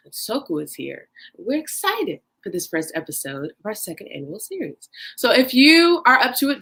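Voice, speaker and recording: Bright upbeat woman hosting a podcast. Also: on the high side. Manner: upbeat, chatty, drawn-out emphasis. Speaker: podcast host. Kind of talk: casual podcast hosting on a livestream.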